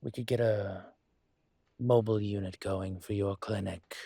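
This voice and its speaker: A man acts out a dragon crime lord for a roleplay audio, composed and courteous. The voice is low-pitched and husky, with very unhurried, deliberate phrasing. He sounds protective and politely in control. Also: steady tone, warm tone, dry recording. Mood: calm, assured. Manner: measured, polite, deliberate with pauses. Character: calm, courteous male dragon mafia boss, protective. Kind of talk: scripted roleplay audio monologue to listener.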